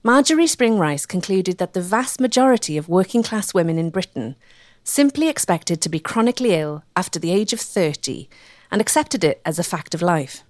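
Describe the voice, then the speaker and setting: Lady doing documentary voiceover, wry storyteller, lively intonation, dry studio sound. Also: fairly low pitch, normal speed, no room sound. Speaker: documentary narrator. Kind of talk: scripted documentary narration.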